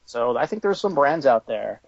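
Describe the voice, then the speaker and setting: A man's voice: mid-range voice, very animated, normal speed, no room sound, dull audio. A man being interviewed about his toy company, talking in a casual, conversational way.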